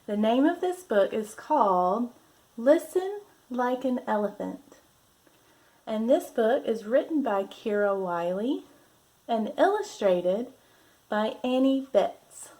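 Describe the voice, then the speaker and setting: Lady doing a kids read-aloud, really slow and gentle, kinda high voice, like a mindfulness teacher. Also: animated. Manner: deliberate, gently instructive delivery for young children. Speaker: children's yoga and mindfulness teacher reading a picture book. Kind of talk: children's read-aloud with guided breathing. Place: at home.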